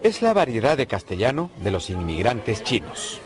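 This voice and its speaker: A man giving clear, scripted educational narration. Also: mid-range voice, huge pitch swings, moderate pace, crowd noise. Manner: clear, explanatory.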